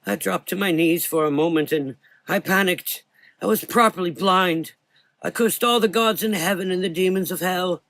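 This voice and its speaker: A man voicing a frightened, guilt-ridden blind old doctor in a gothic audio drama, anguished and halting as he talks. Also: high voice, a bit bright, no room sound. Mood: anguished, haunted.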